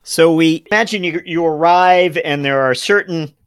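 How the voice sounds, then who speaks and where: A man's voice: fairly high pitch, normal speed, smooth, no echo, studio quality. A man hosting an interview, asking long questions with some hesitations. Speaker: podcast host.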